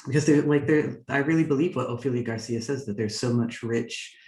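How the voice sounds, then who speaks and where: A man's voice: mid-range voice, a little fast, a little mellow, far from the mic. A man who teaches writing, presenting at a workshop in a thoughtful way, with lots of fillers and restarts, over a video call. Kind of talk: workshop discussion. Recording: roomy and away from the mic.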